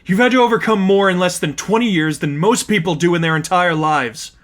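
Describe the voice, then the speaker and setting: A man's voice: sits high, a little fast, a bit bright, voice pushed up. A man voicing an angry, argumentative young woman, an impassioned and condescending friend, in a dramatized story reading. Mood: impassioned, pleading. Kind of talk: dramatized fan fiction reading.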